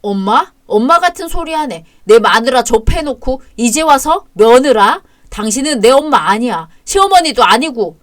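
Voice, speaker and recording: A woman's voice: fairly high pitch, expressive, normal speed, few pauses, lightly acted. A woman voicing an angry young husband who orders his mother out in a cold, harsh tone. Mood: furious. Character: furious protective young husband.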